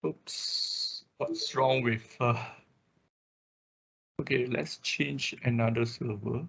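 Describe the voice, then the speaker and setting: A man instructs an online class step by step in an informal way, often pausing to check in. His voice is bright and forceful with a creaky edge. The sound is dull and lo-fi, as from a computer microphone. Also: mid-range voice, steady tone. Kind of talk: hands-on technical tutorial, walking through steps.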